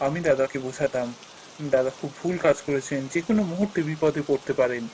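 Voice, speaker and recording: An actor playing a respectful, humble, well-meaning young son-in-law in a fairy-tale cartoon, speaking earnestly. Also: male voice, fairly high pitch, fast talker, darkish tone, slight background noise, natural voice, low-quality recording. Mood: worried.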